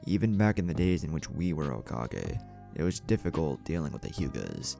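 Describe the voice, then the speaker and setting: Man reading as a firm, deep-voiced young ninja. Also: very animated, fairly quick, gravelly, dark tone, soft background music, exaggerated voice. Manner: firm, casual, irreverent. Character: firm, defiant young male ninja hero.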